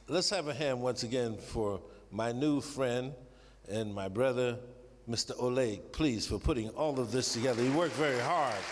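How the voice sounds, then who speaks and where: A man from New York with an American accent speaking from the stage as a guest musician, gracious and warm, often saying "you know". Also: mid-range voice. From New York, USA. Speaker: guest saxophonist. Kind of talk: thank-you speech from stage. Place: concert hall stage. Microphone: stage microphone. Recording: hall reverb, loud crowd noise.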